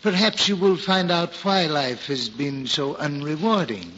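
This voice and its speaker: An actor playing a devout old pilgrim in a 1940s radio drama, earnestly counselling in solemn, measured, old-fashioned speech. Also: male voice, a little high, animated, pushed and bright. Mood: earnest counsel. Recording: muffled 1940s radio recording, faint noise.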